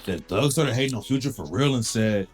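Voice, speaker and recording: A man delivers scripted hip-hop news narration at a middling pitch. His manner is slangy and gossipy, like a storyteller passing on drama, and faint music plays behind him. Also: animated, brisk, husky.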